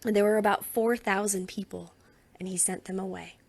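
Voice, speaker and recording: Woman, scripture reader, slightly husky voice, mid pitch, expressive storytelling delivery. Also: brisk. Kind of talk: expressive read-aloud of Bible narrative.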